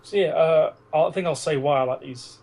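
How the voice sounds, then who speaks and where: A man's voice: a little high, brisk, darkish tone. A British man co-hosting a podcast in casual, teasing, sweary banter with a friend. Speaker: podcast co-host.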